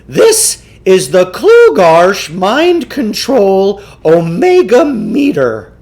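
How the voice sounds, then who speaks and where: A man reading a children's novel, voicing a pompous, theatrical, eccentric salesman who lectures with deliberate self-importance. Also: high voice, huge pitch swings, darkish tone, full character voice. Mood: grand lecturing. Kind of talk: children's novel read aloud in character.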